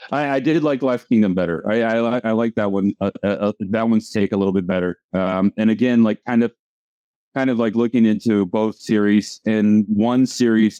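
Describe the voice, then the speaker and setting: Rambling man with a dark, dull-sounding voice. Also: fairly low pitch, dry recording. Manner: rambling, restarts often. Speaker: comic strip creator, guest. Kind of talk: casual conversation. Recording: dull lo-fi audio.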